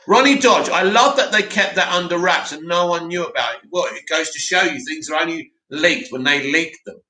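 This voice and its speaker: A man hosting a live stream, reading out viewer comments and answering them in a loud, opinionated way with lots of restarts. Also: a little high, normal speed. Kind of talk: casual live chat. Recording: echoey room.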